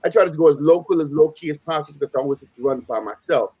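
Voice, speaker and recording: A man with a fairly high voice talks very quickly in a dry, close recording. He is a native Spanish speaker who tells stories in loose street slang. He repeats phrases for emphasis and acts out both sides of a conversation. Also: mellow, husky, no echo, poor audio. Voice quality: slangy, informal street talk. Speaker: panelist on a live open panel. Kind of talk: casual animated storytelling.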